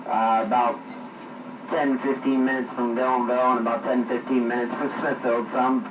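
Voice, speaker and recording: A man's voice: mid-range voice, level tone, moderate pace, lo-fi sound. A man, a tow truck driver chatting casually on CB radio, rambling along with lots of fillers. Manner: rambling storyteller, many fillers. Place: parked near a coffee shop. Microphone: CB radio handset. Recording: echoey distant CB radio with static.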